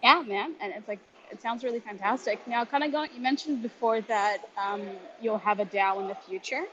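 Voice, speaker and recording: A woman with a fairly high voice asks questions as an informal, slangy co-host. Her audio is somewhat dull, with faint noise behind it. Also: normal speed. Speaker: interviewer, co-host. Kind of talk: casual interview chat.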